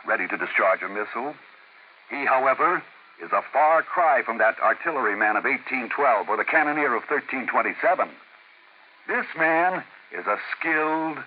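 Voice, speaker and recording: A male announcer reading a measured, declamatory scripted commentary for an old radio broadcast. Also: medium pitch. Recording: tinny old radio broadcast with faint noise.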